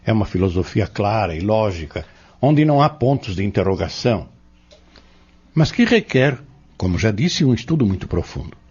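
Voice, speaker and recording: An actor playing an earnest, patient spiritual mentor in an audio drama, courteous and explanatory. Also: male voice, fairly low pitch, sing-song, moderate pace, darkish tone, breathy edge, muffled recording. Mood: earnest, instructive. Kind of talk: audio drama dialogue, didactic.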